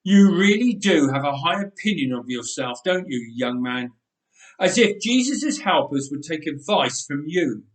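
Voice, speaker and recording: A man's voice: fairly high pitch, lively intonation, unhurried. Man playing a huffy, scolding aunt. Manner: huffing, scolding, prim. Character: stern huffy middle-aged aunt. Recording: roomy church echo.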